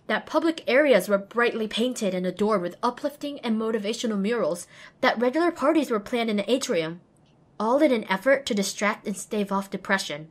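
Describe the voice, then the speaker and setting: A young woman voicing an earnest, self-deprecating young female pony apprentice, narrating an audio drama expressively and wryly. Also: a little high. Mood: wistful, wry. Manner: expressive, wry. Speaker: lead and first-person narrator.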